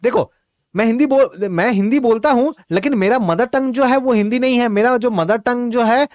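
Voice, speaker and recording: A man whose first language is Bhojpuri, teaching exam-prep geography quiz questions in Hindi. He reads each question out slowly, then explains the answer with quick, repetitive asides. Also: very high voice, no echo, lo-fi sound.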